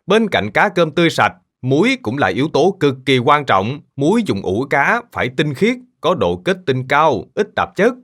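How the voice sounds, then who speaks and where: Kindly uncle, lively teaching delivery. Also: male voice, a little high, moderate pace, few pauses. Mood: patient, instructive. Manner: patient, instructive. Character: kindly older man patiently teaching children. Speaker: fish sauce maker explaining to children. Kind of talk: scripted cartoon explanation.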